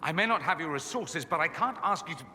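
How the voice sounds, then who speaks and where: Proud, animated man in a reverberant hall. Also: on the high side, fast. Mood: earnest, frustrated. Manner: indignant, emphatic, insistent. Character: proud, defiant man refusing to owe anyone. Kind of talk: dramatic video game cutscene acting. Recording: long reverb, faint noise.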